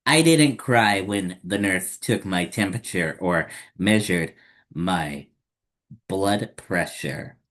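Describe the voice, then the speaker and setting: A man hosting story time, reading a children's picture book aloud in simple, deliberate phrases. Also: low voice, fairly bright tone.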